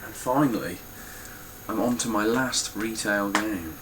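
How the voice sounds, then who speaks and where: A man's voice: fairly low pitch, measured pace, noisy background. A man with a stuffy, nasal voice giving a casual, blunt spoken review of his game collection, with frequent ums and some swearing.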